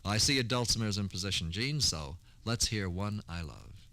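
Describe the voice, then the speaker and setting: Bright, animated male radio host. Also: lowish voice, moderate pace. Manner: formal, courteous announcer delivery. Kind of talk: scripted 1960s public-service radio hosting.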